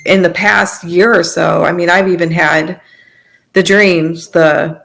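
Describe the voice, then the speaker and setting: Low-pitched woman sharing personal testimony. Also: steady tone, takes it slowly, creaky, fairly bright tone. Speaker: podcast guest sharing testimony.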